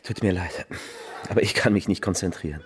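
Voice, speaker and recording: An actor playing a timid, guilt-ridden young man in love in a radio crime drama, halting and earnest, with a husky voice. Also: low-pitched, slight background noise, natural voice. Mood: flustered, lovesick. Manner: hesitant, halting, soft-hearted.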